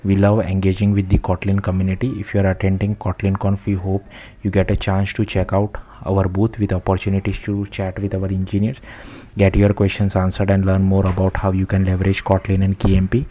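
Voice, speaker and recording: A man's voice: sits low, completely flat delivery, fairly quick, dark tone, dull audio. A man reading tech news aloud in the manner of a channel host narrating a written article.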